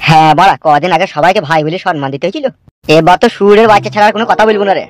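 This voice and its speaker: A man's voice: sits high, rapid-fire, no room sound, lightly acted. A man voicing a cynical, gossiping gangster sidekick in an amateur comedy cartoon, preachy and crude. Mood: gossipy. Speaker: cartoon voice actor.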